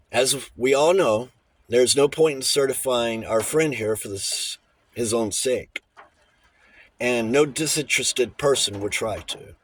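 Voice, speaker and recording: A man's voice: mid-range voice, husky. A man voicing a little old bachelor lawyer in an audiobook, formal and precise, shifting between diffidence and relish for a fight. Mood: confident, combative. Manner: hesitant stammers giving way to precise, emphatic pronouncements.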